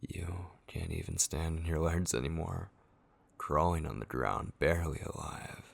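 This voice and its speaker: A man playing an obsessive, possessive kidnapper in an ASMR horror roleplay, with a breathy, gravelly voice that slips into whispers and lingers tenderly and menacingly over words. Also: extremely low pitch, lively intonation, normal speed, full character voice. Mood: taunting, hushed. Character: obsessive, possessive male kidnapper, unhinged, taunting and devoted.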